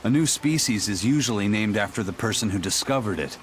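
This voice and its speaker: Um ator interpretando um capitão pirata severo e orgulhoso, de fala firme e professoral. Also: male voice, fairly low pitch, lively intonation, fairly quick, slight background noise, close to an everyday voice. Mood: stern. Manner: stern, lecturing. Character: stern proud male pirate captain. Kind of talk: game dub acting.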